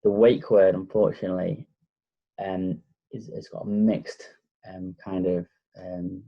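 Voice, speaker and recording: A man with a Lancashire accent gives a relaxed, casual tech walkthrough, with frequent ums and pauses. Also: sits low, gravelly, dull audio. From Blackpool, North West England. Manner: relaxed, hesitant with many fillers. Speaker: tech reviewer. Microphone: laptop microphone.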